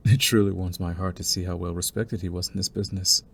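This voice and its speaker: A man playing a young mafia heir in an intimate audio roleplay, smooth-spoken and deliberate, with a cunning, condescending streak beneath his courtesy. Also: low voice, steady tone, fairly bright tone, a little hiss or hum. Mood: courteous, probing. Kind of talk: intimate scripted audio roleplay, ASMR acting.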